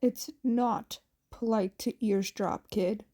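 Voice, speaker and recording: Woman playing a gruff tired man, slightly lowered pitch, mellow, terse, grumbling, story character. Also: steady tone, slow. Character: gruff, weary adult male underground hero, grumbling guardian.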